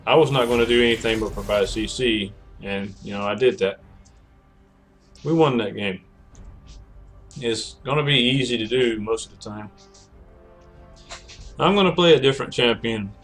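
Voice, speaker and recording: A man's voice: medium pitch, moderate pace, long pauses, a bit bright, faint music behind. A man streaming a video game, chatting casually and rambling with many self-corrections, his voice cracking from a cold. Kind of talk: casual gameplay commentary.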